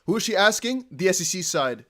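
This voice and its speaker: Dutch-accented man giving excited live commentary. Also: high-pitched, a little fast. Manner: excitable, chatty, laughs often. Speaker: crypto news streamer. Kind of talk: live commentary on a court hearing.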